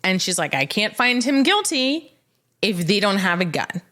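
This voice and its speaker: A woman's voice: medium pitch, big pitch swings, normal speed, sharp tone. A woman hosting a legal podcast as a trial attorney, telling stories in a casual, conversational way and repeating phrases for emphasis.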